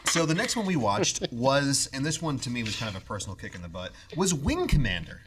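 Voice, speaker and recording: A man's voice: mid-range voice, very animated, brisk, fairly bright tone, slight background noise. A man co-hosting a podcast in casual chat, giving opinionated commentary with an exasperated, sarcastic edge. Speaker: podcast co-host.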